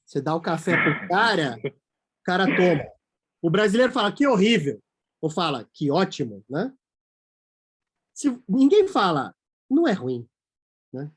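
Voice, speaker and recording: A man from Brazil, a company CEO, talking as a guest in a casual interview, animated and acting out quoted voices. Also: high-pitched, measured pace, long pauses, slightly rough.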